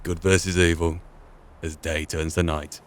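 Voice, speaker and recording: A man's voice: low-pitched, level tone, unhurried, slight background noise. A man giving reflective, musing commentary as he plays a role-playing game. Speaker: gaming channel host.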